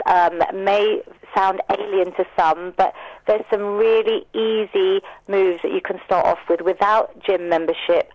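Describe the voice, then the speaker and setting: Woman wellness coach on radio, explainy and encouraging, lowish pitch, close dry sound, bit lo-fi. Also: no room sound. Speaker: wellness coach and personal trainer, radio guest. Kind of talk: informative radio interview answers.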